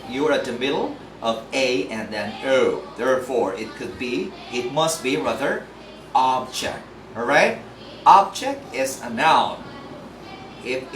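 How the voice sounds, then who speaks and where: A man teaching English pronunciation in a lively, joking classroom style, often asking listeners questions. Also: mid-range voice. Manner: animated, jokey, asks listeners questions. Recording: echoey room, mic at a distance, background noise.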